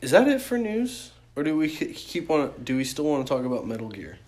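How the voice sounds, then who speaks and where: A man co-hosting a podcast in casual chat, animated and freely swearing. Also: fairly high pitch, fairly quick. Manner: profane, animated. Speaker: podcast host.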